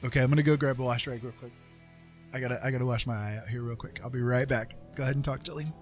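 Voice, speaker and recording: Male livestream host, mid-pitched, earnest and halting delivery, casual chat over faint music. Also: steady tone. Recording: music playing behind the voice.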